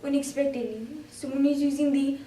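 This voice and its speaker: A woman performing a dramatic monologue as a frightened, anguished young wartime girl, emotive and deliberate in delivery. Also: high voice, steady tone, mellow, slight background noise, natural voice. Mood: sad, pleading. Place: indoor room, recorded from a distance. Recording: roomy echo, distant microphone.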